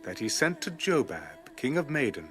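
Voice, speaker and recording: Expressive male Bible narrator over music. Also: mid-range voice, normal speed. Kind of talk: scripted dramatic Bible narration.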